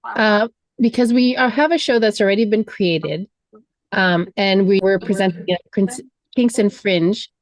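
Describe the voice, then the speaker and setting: A woman on a video call asking grant questions for a theater group, halting, with fillers and self-corrections. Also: medium pitch, dry recording.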